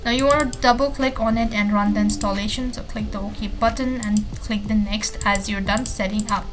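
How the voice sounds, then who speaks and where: A woman hosting a software tutorial, giving step-by-step screencast narration in an instructional way with stock phrasing. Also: medium pitch, fairly even intonation, a little fast. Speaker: tutorial host. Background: background music.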